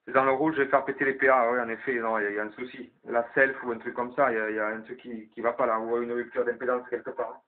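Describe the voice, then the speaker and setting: A man's voice: medium pitch, flat delivery, quick pace, few pauses. A man chatting casually over CB radio, thinking aloud as he passes the turn to other operators. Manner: informal, colloquial, thinking aloud. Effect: CB radio transmission. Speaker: amateur CB radio operator leading a round of calls. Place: CB radio link in FM. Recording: tinny narrow-band CB radio.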